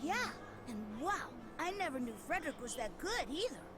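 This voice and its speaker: Excitable high-voiced young kid. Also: female voice, moderate pace, music in the background. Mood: amazed. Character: eager, food-loving young kid in a fantasy game. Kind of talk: English anime-style video game dub.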